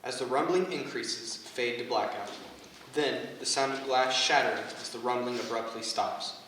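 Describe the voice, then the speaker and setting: A man's voice: mid-range voice, normal speed, a bit bright. A man reading stage directions as scripted narration for a staged reading of a play. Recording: reverberant hall, distant mic, faint noise.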